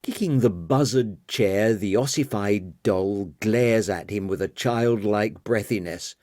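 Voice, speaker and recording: A man's voice: on the low side, slow pace, darkish tone, dry recording, studio quality. A man narrating a literary audiobook in a dramatic style.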